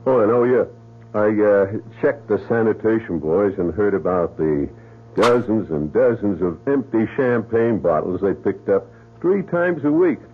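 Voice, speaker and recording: An actor playing a wry, matter-of-fact police detective in a 1970s radio drama. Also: male voice, fairly low pitch, expressive, unhurried, a little hiss or hum. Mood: laid-back. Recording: husky, tinny old radio broadcast.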